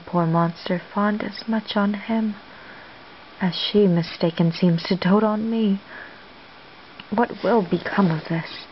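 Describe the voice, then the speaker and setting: A woman playing a troubled young woman disguised as a page in a soft, hushed, wistful Shakespearean monologue. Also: medium pitch, gravelly. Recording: dull lo-fi home recording, faint hiss.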